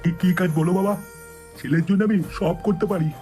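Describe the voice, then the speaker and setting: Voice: mid-range voice, fairly even intonation, a little fast, mellow, faint music behind, close to an everyday voice. A performer voicing an anxious, pleading elderly village father in a comedy cartoon dub.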